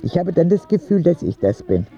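A man's voice: a little high, big pitch swings, brisk, lo-fi sound. A man playing an earnest, candid ten-year-old sick boy who narrates his letters in a radio drama. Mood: confiding, admiring.

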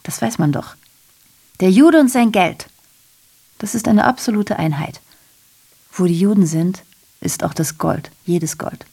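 A female messenger of grim past events in a theatrical radio play. Her voice sits at a middle pitch. She declaims with sardonic mockery, then turns confiding, as if sharing a nasty secret. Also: unhurried, creaky, pauses often, mellow, slightly rough. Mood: sinister, confiding. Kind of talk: theatrical radio drama monologue.

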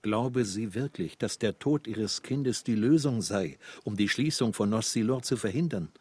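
Sceptical guy pressing for answers in a radio drama, emphatic, husky, dry close sound. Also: lowish voice, normal speed, a little mellow, no room sound. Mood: incredulous, insistent. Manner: probing, emphatic. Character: sceptical man friend, insistent, incredulous questioner. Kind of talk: radio play acting.

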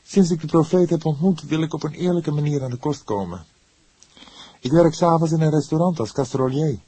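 A man's voice: fairly high pitch, fairly quick, dark tone, slightly rough, no echo, voice pushed up. A man voicing an earnest, defensive young man under questioning, speaking emphatically in acted audiobook dialogue. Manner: emphatic, flaring into defiance.

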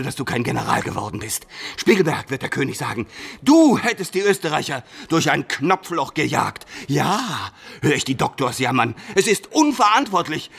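Boastful male schemer for radio drama: grandiose, mocking, highish voice, huge pitch swings. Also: gravelly, husky, full character voice. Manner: theatrical, declamatory, swinging from conspiratorial to shouting. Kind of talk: German radio play acting.

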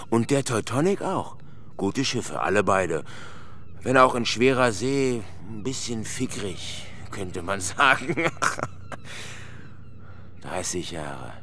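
Deep-voiced ragged old seaman. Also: lively intonation, slow pace, bright, forceful tone, a little hiss or hum, full character voice. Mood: reminiscing. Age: older. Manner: deferential, rambling in short phrases. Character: ragged old ex-steward, down on his luck, wistful. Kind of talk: radio drama acting.

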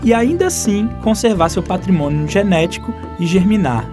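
A man's voice: high voice, animated, normal speed. Man presenting over music. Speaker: radio presenter. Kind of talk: scripted radio narration.

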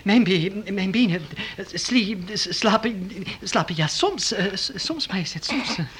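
An actor playing a flustered, fawning baron in a Dutch radio play, stammering and repeating himself. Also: male voice, high voice, animated, measured pace, a bit bright. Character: flustered, fawning, fussy male baron and courtier. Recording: noisy old radio play recording.

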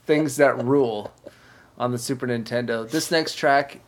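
A man's voice: mid-range voice, measured pace, slight background noise. A man co-hosting a podcast in a casual, rambling, opinionated chat style. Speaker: podcast co-host. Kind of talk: casual video game music podcast chat. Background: music.

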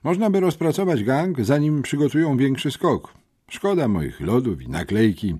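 A man's voice: fairly high pitch, animated, normal speed, mellow, breathy edge, pitched above the performer's own voice. A man voicing an earnest, talkative schoolboy amateur detective in an audiobook, meticulous and matter-of-fact. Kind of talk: audiobook character reading.

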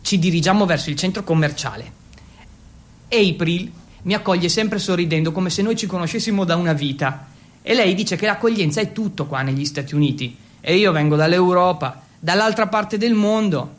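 An actor playing an excitable, awkward Italian teenage exchange student, telling his story in an intense, confessional radio monologue. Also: high-pitched, normal speed, natural voice. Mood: confiding. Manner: intense, confessional, switching between Italian and English. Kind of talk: dramatic first-person monologue for radio.

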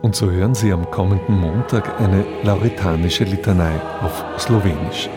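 Guy announcing on radio, low voice, scripted read, music behind him. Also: moderate pace.

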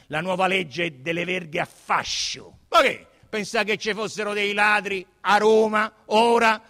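A man with a Roman accent giving an emphatic, declamatory, expressive theatrical reading of literary narration. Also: sits high. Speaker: stage actor reading a novel as narrator. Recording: reverberant hall.

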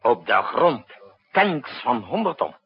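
An actor playing a brisk, commanding president who gives curt military orders in a 1960s Dutch radio drama. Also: male voice, medium pitch, sing-song, exaggerated voice. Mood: confident. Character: commanding, brisk male president directing a night-time military crisis. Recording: muffled, husky-sounding old radio broadcast recording.

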